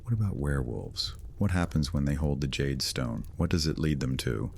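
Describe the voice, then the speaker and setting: A man's voice: a bass voice, expressive, moderate pace, vocal fry, slight background noise, exaggerated voice. A man playing a bitter young vampire leader who turns cold and threatening, in an audio drama. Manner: brooding, turning cold and threatening.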